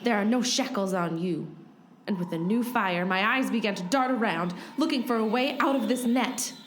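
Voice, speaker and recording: A woman playing a determined, brisk heroine in a comic radio play, narrating and speaking in an earnest mock-epic way. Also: medium pitch, a little hiss or hum, lightly acted. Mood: earnest suspense. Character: determined heroine recounting her escape, bossy and brisk.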